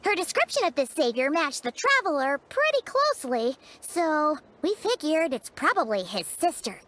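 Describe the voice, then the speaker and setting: A woman's voice: sits high, sing-song, moderate pace, a bit bright. A woman voicing a tiny, childlike fairy companion in a video game, bubbly, chatty and cheeky, with exaggerated delivery. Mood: eager, explaining. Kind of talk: animated video game voice acting.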